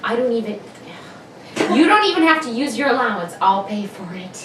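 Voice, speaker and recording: An anxious housewife played by a woman in vintage radio style, theatrical and hesitant, with a very animated melody. She is gentle yet firm. The recording is roomy and noisy. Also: on the high side, brisk. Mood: puzzled. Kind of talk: 1950s radio drama acting, amateur read-through. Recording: roomy, distant mic, clearly noisy.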